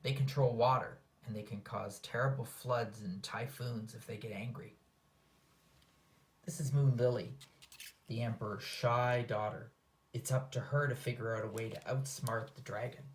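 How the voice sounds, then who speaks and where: A man reading his own children's book aloud in expressive storybook narration. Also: mid-range voice, normal speed, breathy edge.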